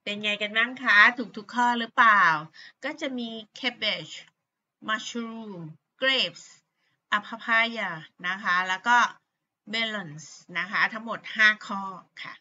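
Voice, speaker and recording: A middle-aged woman teaches English words in her Thai accent, going slowly and repeating herself with many fillers. Her voice is bright and a little high. The recording sounds dull and lo-fi. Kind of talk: teaching vocabulary to pupils.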